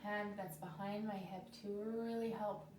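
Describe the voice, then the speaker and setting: A woman teaching a yoga class, giving gentle, steady cues with a slightly scratchy voice and clearing her throat now and then. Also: mid-range voice, moderate pace. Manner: calm, gentle cueing with frequent throat clearing. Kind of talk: guided yoga instruction. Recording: roomy echo from a distant mic with background music. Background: soft music.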